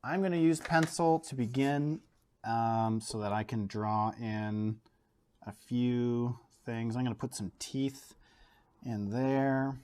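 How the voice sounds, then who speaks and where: A Canadian man teaching an art lesson from his home studio, thinking aloud and filling pauses as he draws. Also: medium pitch, clear, resonant tone. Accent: Canadian English. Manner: relaxed, thinks aloud with fillers while drawing. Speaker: art educator. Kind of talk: casual art demonstration. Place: home studio, overhead camera on a table.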